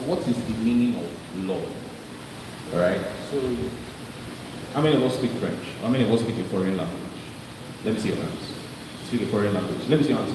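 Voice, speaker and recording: A man addresses a live audience, drawing them in with questions and frequent restarts. His voice comes from a distance in a reverberant hall. The recording carries a clear layer of noise. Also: medium pitch. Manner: interactive, quizzes the crowd, many restarts. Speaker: speaker presenting to an audience. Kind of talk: live presentation with audience interaction. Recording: echoey hall, voice from afar, noisy.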